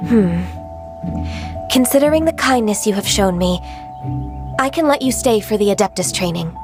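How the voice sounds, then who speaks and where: An actress playing a gentle, timid young woman who speaks softly and politely, over background music. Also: medium pitch, lively intonation, normal speed, close to an everyday voice. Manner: soft, polite, hesitant. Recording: game audio with music behind.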